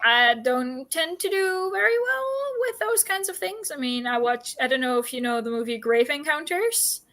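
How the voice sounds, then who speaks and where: Female stream co-host, bright and slightly high, informal, self-deprecating chat. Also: expressive, normal speed. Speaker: co-host of reading livestream. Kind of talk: casual chat.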